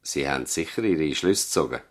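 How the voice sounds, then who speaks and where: An actor with a Swiss German accent playing a dignified, idealistic family doctor in a 1970s radio play, solemn and deliberate. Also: male voice, extremely low pitch, lively intonation. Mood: collegial, courteous.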